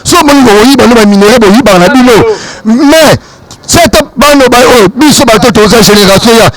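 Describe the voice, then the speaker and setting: A man with a very high voice gives earnest advice in a street interview. He exhorts his listeners, pressing his points. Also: fairly quick, a loud crowd, poor audio. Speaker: person in the street.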